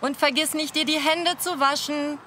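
A caring, practical mother in a children's radio play. Her voice sits a little high, with faint noise behind it. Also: steady tone, normal speed, pushed and bright. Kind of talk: children's audio drama acting.

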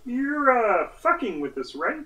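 A man's voice: extremely high pitch, sing-song, a little slow, bright, forceful tone, full character voice. A man voicing a slangy, fretful stoner frog in a cartoon dub, throaty and nasal. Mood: wary. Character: jittery, laid-back stoner talking frog, male. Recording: roomy echo, faint music behind.

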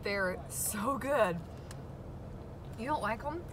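A woman's voice: a little high, expressive, slow, fairly bright tone, a little hiss or hum. A woman in her thirties chatting casually to a handheld phone camera in her car, in a shop and at home, rambling and upbeat. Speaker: family vlogger. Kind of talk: casual vlog chat.

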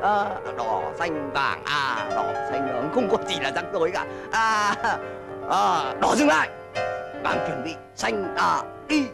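An actor voicing a sly, boastful and gullible cartoon wolf, blustering with drawn-out interjections. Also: medium pitch, sing-song, gravelly, loud music behind the voice, exaggerated voice. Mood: excited, muddled. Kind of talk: exaggerated cartoon dubbing.

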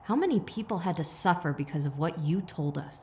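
A woman's voice: sits low, animated, fairly quick, muffled recording, slight background noise. A woman playing a sly, menacing corporate operative in an audio drama, taunting with mock sympathy. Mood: taunting menace. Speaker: villain.

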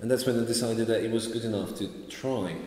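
A man's voice: lowish voice, fast. Italian-accented man in an echoey hall. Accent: Italian with a Scottish tinge. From Italy. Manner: relaxed, gesturing while he talks. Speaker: sword maker, interview guest. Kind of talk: casual interview answers. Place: large bare hall, indoors. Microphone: far camera. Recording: echoey hall with faint noise.

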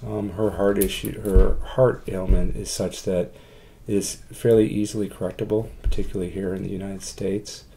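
A man in his forties speaking haltingly and reflectively in a documentary interview. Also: sits low, level tone, creaky, warm tone. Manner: halting, hesitant delivery with long pauses. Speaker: adoptive parent, interviewee. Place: room at home, indoors.

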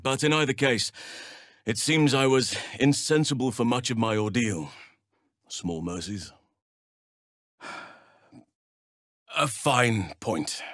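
A man playing a wise, gentle druid in a video game, speaking in a measured, formal and earnest way. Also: lowish voice, no echo, clean studio sound, exaggerated voice. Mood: calm, grave. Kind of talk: video game character acting.

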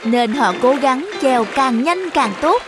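A woman tells a scripted children's story in a fairly high voice. Clear background music plays under her narration. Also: animated, a little slow.

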